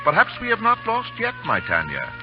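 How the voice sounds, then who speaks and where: Villainous chieftain, florid and condescending, vintage radio drama with loud-ish music behind, dull sound. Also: male voice, sits high, huge pitch swings, brisk. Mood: silky. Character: suave, scheming male border chieftain, a villain. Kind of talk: 1930s radio drama acting.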